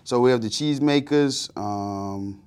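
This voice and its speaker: A man's voice: medium pitch, a little slow, darkish tone, clean tone. A man in his thirties from the Bahamas, co-hosting a casual business panel chat in a TV studio, often restarting his sentences. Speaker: co-host. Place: TV studio, seated at desk.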